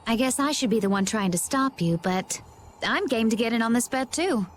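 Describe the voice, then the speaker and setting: A woman's voice: on the high side, animated, normal speed, soft background music, close to an everyday voice. An actress playing a cool, self-assured woman in a video game, with a composed and frank delivery. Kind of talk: game voice acting.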